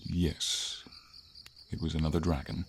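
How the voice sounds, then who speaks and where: A man voicing a friendly, noble silver dragon in an ASMR fantasy roleplay, speaking in an unhurried, thoughtful and slightly formal way with pauses. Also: very deep voice, flat delivery, creaky, slight background noise, full character voice. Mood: calm, matter-of-fact. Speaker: voice actor. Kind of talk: ASMR fantasy roleplay, slow intimate storytelling. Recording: ambient nature sounds, crickets, light rain.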